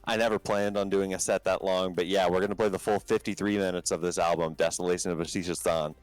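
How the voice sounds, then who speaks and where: Low-voiced man rambling with fillers. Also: steady tone, quick pace, no room sound, faint music behind, rough recording. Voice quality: low talking voice. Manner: rambling, filler-heavy, many restarts. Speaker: band vocalist guest. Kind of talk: casual podcast chat.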